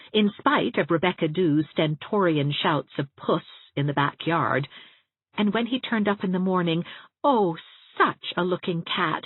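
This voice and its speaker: A woman reading a first-person story aloud as an expressive audiobook narrator. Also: mid-range voice, slightly rough, no room sound. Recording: narrow phone-like sound, very dry.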